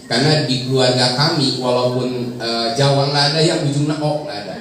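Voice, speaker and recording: A man's voice: medium pitch, fairly even intonation, a little fast, fairly bright tone. A man from Bandung with a Sundanese accent, a preacher casually telling stories about his childhood in a joking, chatty way. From Bandung, Indonesia. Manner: joking, chatty, laughs often. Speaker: preacher and guest speaker. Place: large echoey hall with an audience. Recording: echoey hall, distant mic, audience murmur.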